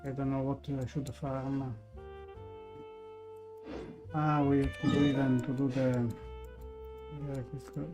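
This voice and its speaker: A man streams a game with casual, hesitant commentary in non-native English. He speaks slowly, thinking aloud at a middling pitch, with clear game music behind him. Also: level tone. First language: not English. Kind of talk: casual live gameplay commentary.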